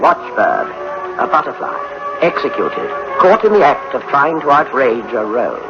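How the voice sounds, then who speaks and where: An actor playing an ominous chronicler in a radio drama, narrating in terse, portentous bursts. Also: male voice, medium pitch, very animated, a little slow. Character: ominous male chronicler of machine killings. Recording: dull old radio recording under loud music.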